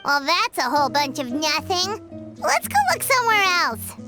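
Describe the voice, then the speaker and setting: A woman voicing a small, excitable female companion in a video game, squeaky and childlike, given to exclamations. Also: moderate pace, over music. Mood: excitable, bossy. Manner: exclamatory, refers to herself by name.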